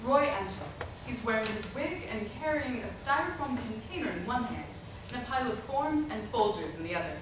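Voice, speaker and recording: A woman's voice: on the high side, lively intonation, fairly quick, pauses often. A woman reading out scripted stage directions as narrator of a play reading. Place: indoor theatre stage. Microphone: distant camera microphone. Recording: echoey stage recording, far off, noisy.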